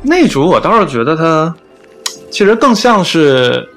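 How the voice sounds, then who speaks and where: Higher-voiced man giving blunt opinions. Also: sing-song, a little slow, a bit bright, faint music behind. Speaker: podcast co-host. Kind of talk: casual chat.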